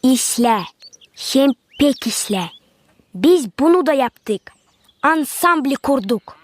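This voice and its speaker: A woman's voice: high-pitched, expressive, drawn-out words, exaggerated voice. A woman playing a childlike young animal band member in a cartoon. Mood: eager.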